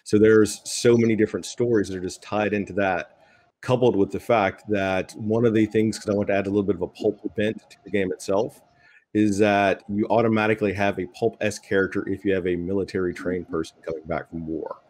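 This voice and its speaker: A man's voice: on the low side, fairly even intonation, a little fast, a little mellow, a little hiss or hum. A middle-aged man speaking earnestly as a panelist in a discussion. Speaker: panelist, military veteran and writer. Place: indoor room at home. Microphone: video call.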